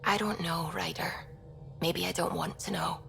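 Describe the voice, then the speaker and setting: An actress playing an earnest, curious and sociable young science crew member in a video game, chatty with hesitant restarts. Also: female voice, on the low side, steady tone, normal speed, creaky, fairly bright tone, soft background music. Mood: thoughtful.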